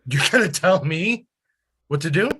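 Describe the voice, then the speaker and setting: A man's voice: a little high, animated, normal speed, sharp tone, slightly rough, exaggerated voice. A man playing a domineering, menacing father in a staged reading of a drama, stern and confrontational. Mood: angry, threatening.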